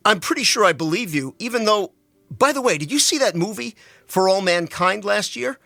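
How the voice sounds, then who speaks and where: A man's voice: high-pitched, animated, normal speed, gravelly, bright, forceful tone, no room sound, exaggerated voice. A man playing a curious, joking married teacher in a dramatic reading, with an inquisitive, wry manner. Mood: chatty, enthusiastic.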